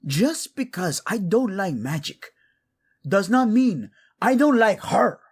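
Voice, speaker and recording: A man playing a proud, passionate young king devoted to his wife in a romantic audio drama, speaking earnestly and deliberately. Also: sits high, sing-song, breathy edge, exaggerated voice. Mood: indignant, defiant.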